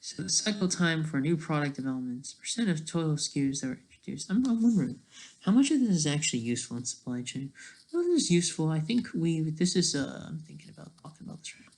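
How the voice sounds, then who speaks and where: A person thinking aloud in a casual, digressive commentary over study notes, halting often and talking in an informal, self-deprecating way. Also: mid-range voice, very animated, a little mellow, poor audio. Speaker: solo online commentator.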